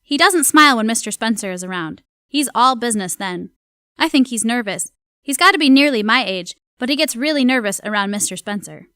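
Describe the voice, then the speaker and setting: A woman's voice: on the high side, lively intonation, fairly quick, sharp tone, smooth, dry recording, clean studio sound. A woman playing a dutiful, nervous housemaid confiding in her journal in an audio drama, speaking earnestly. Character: dutiful, nervous young housemaid at a grand mansion.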